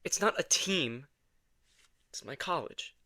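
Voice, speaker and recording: A man's voice: on the high side, lively intonation, slow, bright, forceful tone, no room sound, heavily acted. A man playing a weary, sardonic, reluctant young vampire in an intimate audio drama, guarded and dry.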